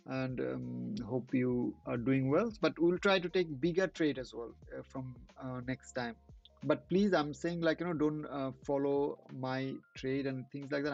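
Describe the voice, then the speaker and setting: A man whose first language is not English, hosting a trading channel and commenting casually on live charts in halting speech with many restarts. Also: medium pitch, creaky, a little mellow, dull audio.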